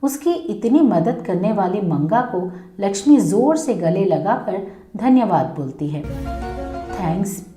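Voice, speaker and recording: A woman's voice: medium pitch, animated, unhurried, few pauses, warm tone. A woman storyteller reading a scripted children's story. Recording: roomy echo, music behind.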